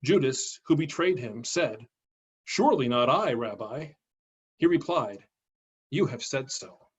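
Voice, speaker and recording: A man's voice: medium pitch, expressive, a little slow, breathy edge. A man, a pastor, giving a dramatic scripture reading over a video call. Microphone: video call microphone. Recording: dull lo-fi video call audio.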